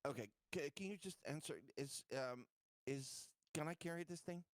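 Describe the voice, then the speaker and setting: A man's voice: on the high side, moderate pace, no room sound, clean studio sound. A man playing a tabletop game, chatting casually and putting questions to the others.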